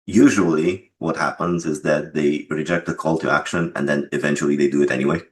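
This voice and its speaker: A man explaining his creative choices in an interview, measured in manner, on a noisy mic with clicks. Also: rumbling low, fairly even intonation. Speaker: game developer being interviewed.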